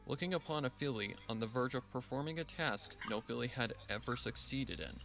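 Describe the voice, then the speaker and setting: A man's voice: mid-range voice, a little fast, a bit bright, faint music behind, low-quality recording. A man reading a scripted story as narrator, with a solemn, dramatic delivery. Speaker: narrator reading fan fiction.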